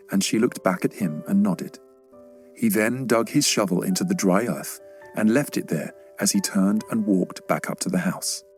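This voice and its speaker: A male audiobook narrator reads a children's story in a fairly low voice. The delivery is scripted storytelling, with faint music underneath.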